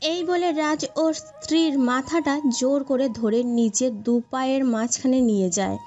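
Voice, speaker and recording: A woman's voice: sits high, moderate pace, darkish tone. A woman reading a scripted story as its narrator. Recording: dull muffled sound with music behind.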